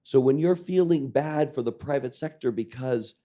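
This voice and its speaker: Croaky man lecturing a class. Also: mid-range voice, normal speed, dark tone. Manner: jokey, engaging the audience with questions. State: croaky voice, clearing throat. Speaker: lecturer on public-private partnerships. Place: lecture room with live audience.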